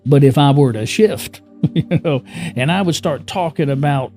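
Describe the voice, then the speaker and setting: Chuckling man teaching in a warm voice. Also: on the high side, normal speed, soft background music. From born in the US Northeast, raised in California. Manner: conversational, repeats words, chuckles often, asks rhetorical questions. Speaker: spiritual teacher. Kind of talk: unscripted spiritual teaching to camera.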